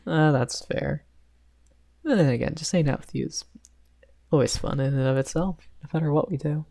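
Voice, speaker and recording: A woman's voice: sits low, measured pace, vocal fry, pauses often, dark tone, full character voice. A woman playing a shy young male best friend in an ASMR roleplay, rambling hesitantly with stammers and nervous giggles. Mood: shy, friendly.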